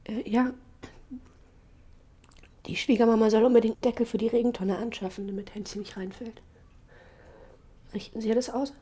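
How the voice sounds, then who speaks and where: An actress playing a tender, grieving young mother in prison, hushed and halting, in a naturalistic radio drama. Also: on the high side, fairly even intonation, husky. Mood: urgent pleading.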